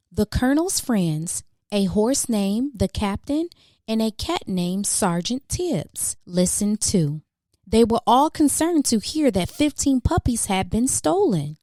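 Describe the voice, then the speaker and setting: A woman narrating a children's storybook expressively, with measured, dramatic emphasis for young listeners. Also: mid-range voice, a little mellow, no room sound, clean studio sound. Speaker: children's book reader.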